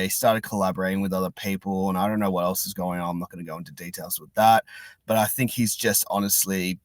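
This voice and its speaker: A man's voice: lowish voice, no room sound. A man hosting a casual podcast chat, rambling and often repeating and restarting his phrases. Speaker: podcast host.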